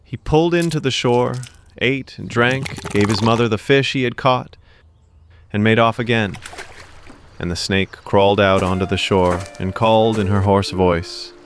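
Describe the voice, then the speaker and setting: A man narrating a children's fairy tale in an expressive, scripted storybook style. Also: fairly low pitch, normal speed, a little hiss or hum.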